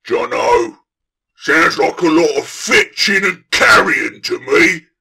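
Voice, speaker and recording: Gravelly, belligerent orc warlord. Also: male voice, on the high side, lively intonation, slow, sharp tone, voice pushed up, heavily acted. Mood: dismissive. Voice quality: gravelly, raspy. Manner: crude slangy brutish speech. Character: huge belligerent male orc warlord, boastful and thick-headed.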